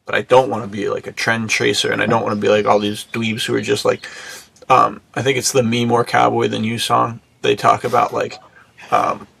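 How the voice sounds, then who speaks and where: Creaky, bright-voiced American man chatting casually. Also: mid-range voice, completely flat delivery, brisk. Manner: slangy, rambling, acts out imagined remarks. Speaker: podcast co-host. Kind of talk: casual chat.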